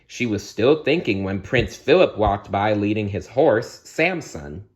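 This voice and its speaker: A man's voice: fairly low pitch, very animated, measured pace. A man reading a bedtime story aloud expressively, now and then speaking to young listeners. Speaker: storybook reader.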